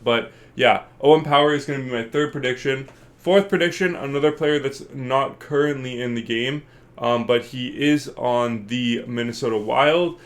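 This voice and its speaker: A man's voice: medium pitch, moderate pace. A congested man hosting a gaming channel, giving casual, rambling commentary full of fillers as he thinks aloud. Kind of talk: casual video game commentary.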